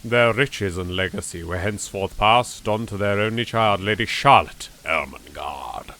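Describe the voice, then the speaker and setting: A British man narrating a horror game legend as a solemn, ominous storyteller, measured and lingering on phrases. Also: on the low side, bright, forceful tone. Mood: grave, ominous.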